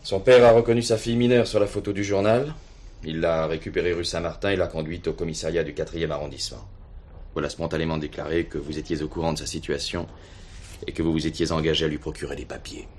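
An actor playing a stiff, coldly dutiful police superintendent in a French radio play, speaking in formal, measured officialese. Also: male voice, extremely low pitch, fairly even intonation, darkish tone.

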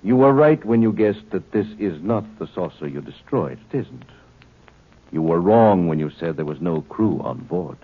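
An actor in a 1950s radio drama playing a folksy rancher who is secretly a cold alien pilot, with a drawling country way of talking. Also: male voice, deep voice, expressive, husky. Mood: cold, calm. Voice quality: drawling country speech, turning cool and measured. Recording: tinny old radio broadcast with hiss.

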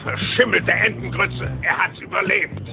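An actor playing a smug, scheming rich rival in a cartoon audio play, on lo-fi cassette. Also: male voice, high-pitched, normal speed, creaky, over music. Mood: scheming, exasperated. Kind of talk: cartoon voice acting. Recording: tinny lo-fi cassette.

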